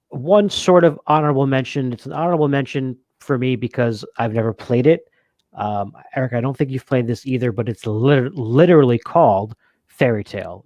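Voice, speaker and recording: Male co-host, average pitch. Relaxed conversational review talk, recorded dry with no room sound. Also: no echo. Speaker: co-host of a board game review show. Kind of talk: casual chat, asking questions and signing off to viewers.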